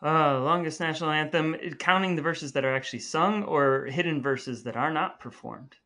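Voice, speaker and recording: Podcast guy, bright forward voice, slightly high for a man, joking around dryly. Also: moderate pace. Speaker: podcast co-host. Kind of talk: casual podcast banter and discussion.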